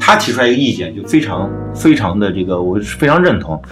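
A man's voice: on the low side, very animated, fast talker. A man hosting a podcast, explaining his opinions in a casual music discussion. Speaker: podcast host.

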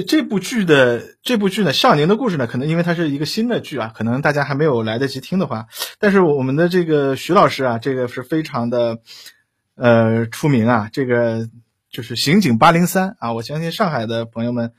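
A man hosting a live interview in a casual, chatty way, often halting and rephrasing as he goes. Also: mid-range voice, lively intonation, breathy edge. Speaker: host of a live radio-drama talk show.

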